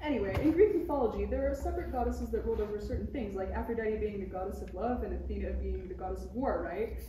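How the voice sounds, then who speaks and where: A woman's voice: mid-range voice, fast, lightly acted. A woman playing a chatty, nonchalant young senator talking as an exasperated daughter in a parody read-through. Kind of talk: live table read of a parody script. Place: room at a table read. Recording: roomy distant recording with clear noise.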